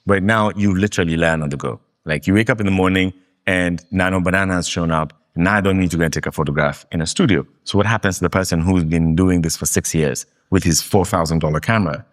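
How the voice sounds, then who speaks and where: Deep-voiced Kenyan man, emphatic. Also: fast. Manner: animated, emphatic storytelling. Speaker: guest. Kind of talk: fireside interview. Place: live meetup venue.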